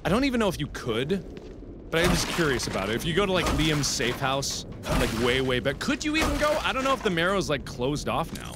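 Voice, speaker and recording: A man hosting a let's play, commenting on gameplay with sudden loud outbursts and mumbled asides. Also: on the high side, sing-song, brisk, heavy background noise. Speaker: gaming video host. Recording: game music behind the voice. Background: game music.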